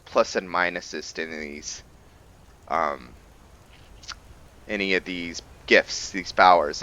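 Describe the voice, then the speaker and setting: A man giving casual commentary on a roleplay video game, thinking aloud with halting pauses. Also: deep voice, bright, forceful tone, rough recording. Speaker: gaming video host.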